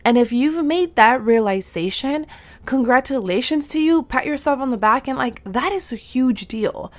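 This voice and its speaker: A woman in her thirties hosting a solo podcast, bubbly and affirming, her voice slightly nasal and raspy today. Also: high-pitched, animated, normal speed. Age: 30s. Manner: bubbly, affirming, with slang and laughs. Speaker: podcast host and soul mentor. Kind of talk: upbeat solo podcast talk.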